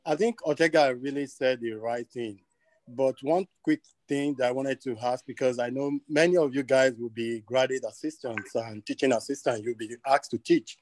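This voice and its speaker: A Nigerian man with a Nigerian accent speaks over a video call with a dry, close sound. He tells stories in a friendly, lively way as a panelist. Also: medium pitch, moderate pace, no echo, poor audio. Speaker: webinar panelist.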